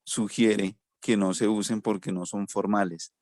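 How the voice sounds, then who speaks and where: A man's voice: lowish voice, fairly even intonation, unhurried, darkish tone, dry recording, clean studio sound. Hesitant, polite man asking questions. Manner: hesitant, polite, many restarts. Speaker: student. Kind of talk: asking questions in an online class.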